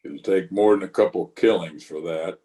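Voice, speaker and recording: A man's voice: sits low, normal speed, dark tone, lightly acted. A man playing a grave, conscience-stricken frontier marshal in an old-time radio western, speaking plainly and wearily. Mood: dry, weary. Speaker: actor and narrator in an amateur radio play. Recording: voice recorded over an internet call.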